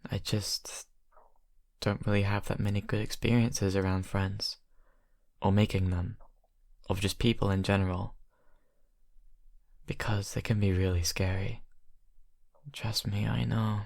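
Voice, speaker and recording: A young man acting a shy college vampire who confides haltingly and softly to his best friend in an ASMR roleplay. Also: fairly low pitch, little pitch movement, gravelly, dry recording. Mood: wistful, hurt. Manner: hesitant, halting, soft-spoken confiding. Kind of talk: ASMR roleplay, intimate improvised monologue.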